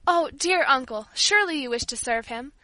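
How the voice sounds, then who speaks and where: A woman's voice: sits high, moderate pace, a bit bright, dry recording. A woman voicing a devout, earnest young country girl in an audiobook, polite, emotional and pleading. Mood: tearful pleading. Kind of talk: audiobook character voice.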